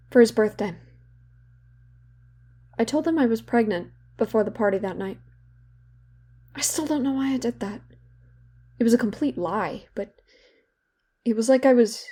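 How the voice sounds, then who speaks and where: A woman's voice: mid-range voice, warm tone, husky. A young woman playing a guilt-ridden, bitter therapy patient in a confessional, self-loathing audio drama monologue. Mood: regretful. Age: young adult.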